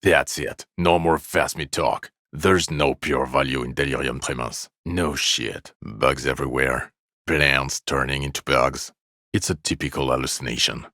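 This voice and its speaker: A jaded male cop from a video game, with an extremely low, gravel-edged voice. He is sarcastic and irritable, delivering his lines in a weary, scornful deadpan. The sound is close and dry. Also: unhurried, dry recording, heavily acted. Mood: cold, dismissive.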